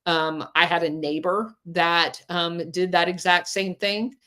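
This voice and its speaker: A woman's voice: on the low side, level tone, measured pace, bright, forceful tone. A woman hosting a call-in podcast, giving plain-spoken advice with frequent ums. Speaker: podcast host.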